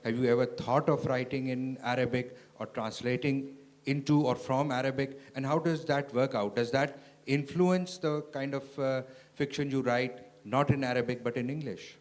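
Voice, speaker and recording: Brief: man, audience questioner, measured, searching. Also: medium pitch, fairly even intonation, a little mellow.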